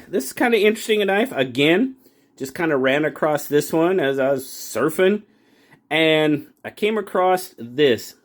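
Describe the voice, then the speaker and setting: A man hosting his own channel and reviewing a pocket knife in a casual, folksy way, rambling and restarting his sentences often. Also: medium pitch. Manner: rambling, folksy, self-correcting with frequent restarts. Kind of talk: casual product review talk.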